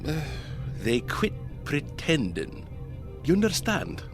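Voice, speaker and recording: Airship captain, animated lilt. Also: male voice, a little high, slow, music in the background, exaggerated voice. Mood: solemn, courtly. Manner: courtly, old-fashioned seafaring phrasing. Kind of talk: audio drama acting.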